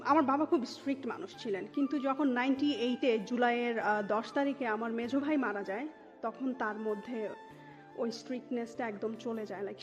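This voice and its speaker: High-voiced Dhaka woman recounting her life. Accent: Dhaka Bangladeshi. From Dhaka, Bangladesh. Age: 30s. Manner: mixes English words into Bengali. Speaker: interview guest telling her life story. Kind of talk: personal life-story telling in an interview. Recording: dull muffled sound with music underneath. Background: music.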